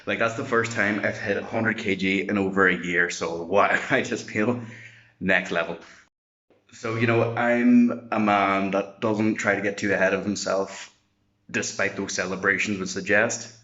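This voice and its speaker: A young man talks to camera between lifts, loose, chatty and easily hyped. His voice sits fairly low and comes through a dull, lo-fi camera mic. The room around him rings with echo. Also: steady tone, a little slow, pushed and bright. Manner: boisterous, chatty, swears when hyped. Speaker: vlogger. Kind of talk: casual workout vlog. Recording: echoey, dull lo-fi room sound.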